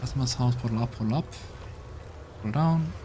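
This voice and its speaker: A man hosting a let's play, reading game text aloud and commenting in a relaxed, offhand way. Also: medium pitch, a little fast, slight background noise. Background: game music.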